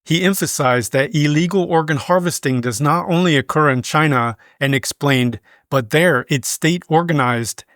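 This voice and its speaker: A man reading scripted news as a newsreader, in a measured, formal manner. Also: on the high side, a bit bright, dry recording, clean studio sound. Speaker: news reader.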